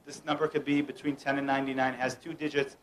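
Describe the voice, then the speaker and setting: A man's voice: mid-range voice, level tone, fast talker, slight background noise. A young man from the United States performing a playful mind-reading act, teasing and directing the people around him. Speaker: mentalist performer. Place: room at a home gathering.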